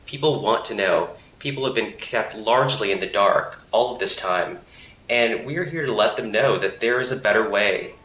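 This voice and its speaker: A man's voice: fairly low pitch, moderate pace, a bit bright, echoey room, slight background noise, rough recording. A man in his thirties, an animal advocacy campaign coordinator, giving earnest, assured interview answers into a handheld mic in an office. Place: office room indoors.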